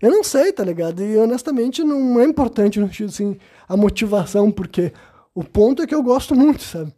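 Rambling Brazilian man, dark mellow voice. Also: sits high, lively intonation, brisk. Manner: rambling, constant "sabe?" tags, hesitant restarts. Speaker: podcast host. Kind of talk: casual monologue.

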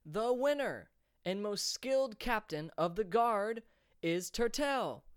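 A man voicing a male arena announcer who makes loud, drawn-out proclamations. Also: high voice, very animated, no echo, clean studio sound, voice pushed up. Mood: grandly proclaiming.

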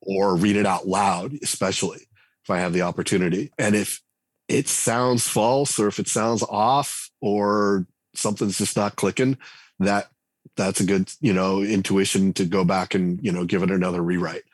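A man, a game writer and designer, chatting casually as an interview guest, relaxed and full of fillers and restarts. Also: deep voice, moderate pace, dry recording. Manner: relaxed, thinks aloud with many fillers and restarts.

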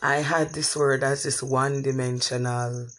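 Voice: medium pitch, flat delivery, moderate pace, a bit bright. A therapist from Jamaica, telling a reflective story in a thoughtful way with frequent restarts.

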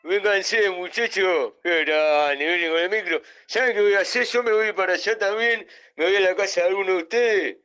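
A man's voice: sits high, normal speed, few pauses, bright, forceful tone, clean tone. A man playing a brash, womanizing Argentine former classmate in a comic radio drama, boisterous and sleazy. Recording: dull, lo-fi audio.

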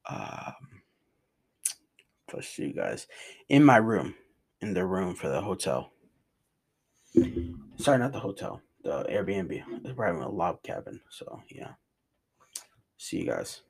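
A man's voice: fairly low pitch, gravelly, slightly rough. A man with a New York and New Jersey accent, rambling casually in slangy vlog chat from his room. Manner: slangy, rambling, says bro a lot. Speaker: vlogger. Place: bedroom in a rented cabin.

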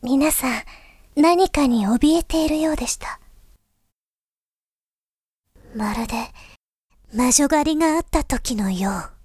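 A woman playing a gentle, thoughtful young princess, speaking formally, softly and earnestly in a Japanese voice drama monologue. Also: high-pitched, lively intonation, a little slow, no room sound, studio quality. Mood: uneasy, troubled. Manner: formal, soft-spoken, earnest.

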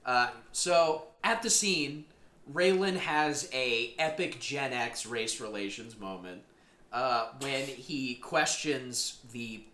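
Animated podcast co-host, echoey room. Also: male voice, mid-range voice, takes it slowly, slight background noise. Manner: animated, quotes characters in voices. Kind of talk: casual TV recap chat.

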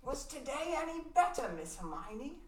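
A woman's voice: high-pitched, big pitch swings, normal speed. A woman playing a loyal, deferential male doorman with formal manners in an amateur radio play. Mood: loyal, courteous. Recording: roomy, noisy, distant from mic.

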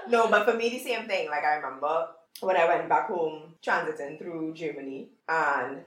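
A woman's voice: sits low, normal speed, reverberant, far from the mic, heavy background noise. A woman with a Trinidadian accent chatting casually and animatedly, laughing often. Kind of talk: casual chat.

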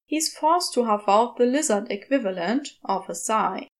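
A woman's voice: on the high side, animated, normal speed. A woman reading scripted fiction as an audiobook narrator.

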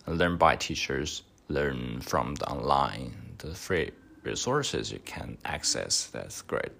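Young guy, really low voice, slow and halting, lots of uh and um. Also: very animated, creaky, fairly bright tone, slight background noise. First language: Chinese. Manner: halting, hesitant speech with many fillers. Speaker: podcast host. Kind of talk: mock speaking exam answers.

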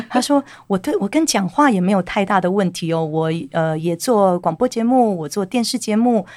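A woman working as an interpreter, briskly relaying the guest's answers. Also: mid-range voice, animated, a little mellow, dry recording. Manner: brisk, relays in the first person. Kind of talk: consecutive interpreting.

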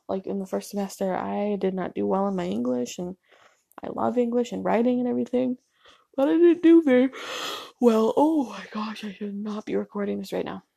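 A young woman from Canada rambles on at home, apologizing and filling pauses with "um" and "like." Her voice is heavily gravelly and mellow, a little husky, and her nose is stuffy. The sound is dry and close. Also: medium pitch, no echo. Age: young adult. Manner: chatty, self-deprecating, filler-heavy with ums and likes. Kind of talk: rambling casual monologue.

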